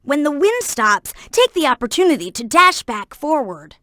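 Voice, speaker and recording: A woman voicing a young boy fox sidekick in a video game, earnest, helpful and excitable, with clear childlike delivery. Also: high voice, moderate pace, dry recording, clean studio sound, heavily acted. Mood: earnest, instructive. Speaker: video game character giving hints. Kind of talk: game voice acting, tutorial hints and alerts.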